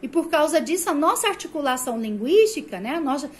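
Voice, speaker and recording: High-voiced Brazilian woman teaching. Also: very animated, fast, a little mellow. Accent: Brazilian Portuguese, rolled R of the interior. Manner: explanatory, asks rhetorical questions, frequent "né?" tags. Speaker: Portuguese teacher. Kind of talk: recorded prep-course lecture.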